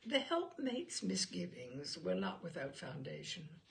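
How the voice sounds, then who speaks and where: A woman reading literary humour aloud in an expressive, theatrical and wryly amused manner. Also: fairly low pitch, unhurried, creaky. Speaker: reader of humour stories.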